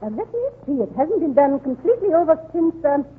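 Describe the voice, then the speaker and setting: A fluttery society matron in an old radio comedy, very high and swooping in pitch. She is affected, fussy and haughty, given to tittering. The recording is tinny and narrow, like 1930s radio. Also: sing-song, quick pace.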